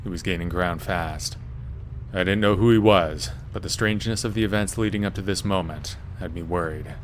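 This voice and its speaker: A man with a very low voice narrates a first-person horror story from a script. Faint ambient noise sits behind his voice. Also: normal speed.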